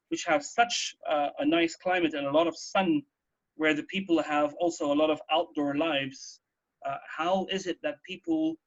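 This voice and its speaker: A man with a fairly high voice and noticeable fry talks through health news in a casual radio discussion. He explains point by point, circling back to rephrase. The audio is a little dull. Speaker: radio talk host.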